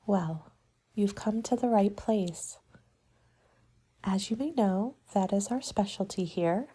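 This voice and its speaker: A woman speaking softly in an ASMR roleplay as a party planning agent, polite and measured, sometimes slipping toward a whisper. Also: mid-range voice, breathy edge. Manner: polite, measured, businesslike consultant patter. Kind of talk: soft-spoken ASMR roleplay, partly whispered.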